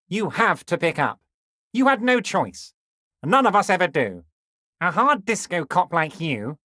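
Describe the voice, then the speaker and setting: An actor playing a scheming, jovial middle-aged union boss in a video game, folksy, flattering and sly. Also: male voice, fairly high pitch, no echo, studio quality.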